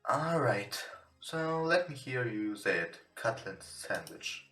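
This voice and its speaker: A man who is not a native English speaker, reading a visual novel aloud with casual commentary in a somewhat halting way. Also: mid-range voice, very animated, sharp tone. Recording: roomy, echoing home recording. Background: game music.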